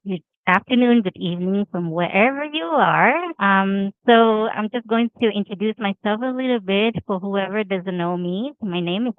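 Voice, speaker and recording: A woman's voice: medium pitch, normal speed, few pauses, no echo. A woman from Vietnam with a Vietnamese accent, introducing herself as a panel guest in a friendly way. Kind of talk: casual self-introduction. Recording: tinny phone-like line, dry.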